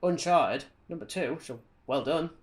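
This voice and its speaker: A man's voice: on the high side, a little slow. A man co-hosting a podcast, chatting casually about films and games in a blunt, opinionated way. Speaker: podcast co-host. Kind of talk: casual film and game chat.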